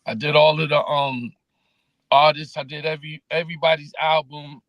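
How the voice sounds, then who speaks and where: New guy, laid-back street talk, kinda high voice, dry close sound. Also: little pitch movement, moderate pace, a bit bright, dry recording, poor audio. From New York City. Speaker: interview guest, music promoter. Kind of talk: casual interview chat.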